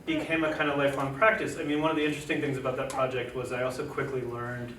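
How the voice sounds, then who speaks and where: A man who is a poet and translator, speaking on a panel in a relaxed, halting way with many fillers and restarts. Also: mid-range voice. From United States, family from Chile. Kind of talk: casual panel talk. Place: lecture room. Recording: echoey room, distant mic, noisy.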